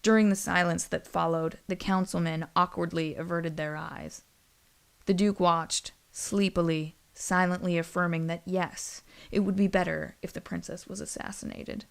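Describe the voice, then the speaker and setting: A woman narrating a scripted audio story in a deliberate, literary storytelling manner. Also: lowish voice, steady tone, creaky, smooth.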